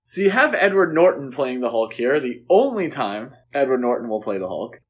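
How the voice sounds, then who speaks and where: A man's voice: medium pitch, big pitch swings, moderate pace. Casual man co-hosting a podcast. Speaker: podcast co-host. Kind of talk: casual film discussion.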